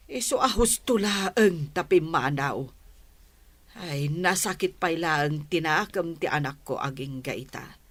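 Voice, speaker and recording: A woman with an Ilocano accent playing a blunt, frustrated confidante in a radio drama, forceful and scolding. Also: low voice, animated, slow pace, dry recording, heavily acted. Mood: worried.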